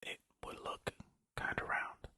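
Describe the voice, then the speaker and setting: A host whispering an ASMR reading of facts, with rambling, jokey, self-deprecating asides in a casual way. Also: unhurried. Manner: whispering throughout. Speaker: host of a video channel.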